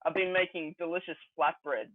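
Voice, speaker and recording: Young male podcast co-host, higher-pitched, jokey and sweary, dry phone-like audio. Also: steady tone, brisk, no echo. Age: young adult. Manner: joking, sweary. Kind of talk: casual banter. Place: remote group online call. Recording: thin phone-like call audio.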